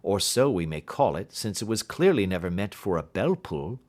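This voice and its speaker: A man voicing a keen, assured detective in an audiobook, explaining things precisely and deliberately. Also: deep voice, very animated, darkish tone. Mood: keen, reasoning. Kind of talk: audiobook character dialogue.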